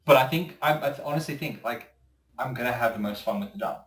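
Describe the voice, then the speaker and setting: A man's voice: mid-range voice, quick pace, fairly bright tone. A man chatting casually as a voice actor being interviewed, rambling and opinionated. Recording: roomy echo, distant microphone.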